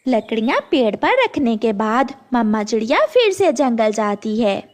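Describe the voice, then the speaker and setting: Woman narrator for a kids' cartoon, high and lively, sing-song. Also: a little slow. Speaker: storyteller. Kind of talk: scripted children's story narration.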